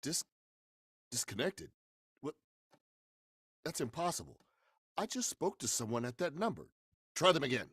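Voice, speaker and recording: An actor playing a bewildered, impatient gentleman in 1940s radio horror style, questioning everything in an exasperated, emphatic way. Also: a little high, very animated, moderate pace, long pauses, breathy edge, dry recording, studio quality, exaggerated voice.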